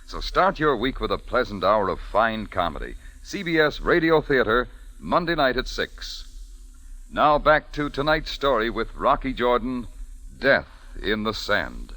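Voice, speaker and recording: Bright, animated American radio announcer man. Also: medium pitch, a little slow. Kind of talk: old-time network radio announcing.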